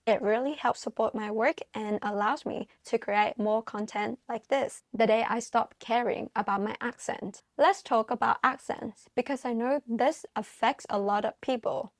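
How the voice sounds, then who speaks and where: A woman's voice: on the high side, moderate pace, hardly any pauses, dry recording, clean studio sound. A young woman with a non-native English accent, speaking earnestly and encouragingly to viewers in a scripted advice monologue. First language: non-English, learned English at school. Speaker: vlogger giving advice to migrants.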